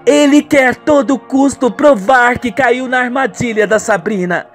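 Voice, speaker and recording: A melodramatic young woman of a radio soap, acted out by a man. The voice is high and exclamatory, with music faintly behind it. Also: unhurried. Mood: gossipy. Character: young woman, tender, scheming or gossipy, melodramatic.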